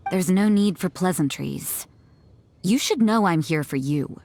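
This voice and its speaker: A stern female guard for a video game. The voice is very low, cool and unimpressed, with faint noise behind it. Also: expressive, slow, a little mellow.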